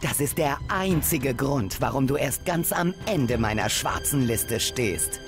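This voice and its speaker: An actor playing a blunt, judgmental former comrade in a video game, curt and reproachful. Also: male voice, mid-range voice, expressive, a little slow, over music. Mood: grudging. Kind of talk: dramatic video game acting.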